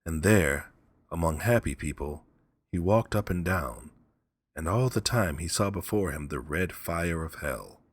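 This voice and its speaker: Deep, husky male storyteller. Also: lively intonation, measured pace, dry recording, studio quality. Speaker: audiobook narrator. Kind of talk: scripted storybook narration.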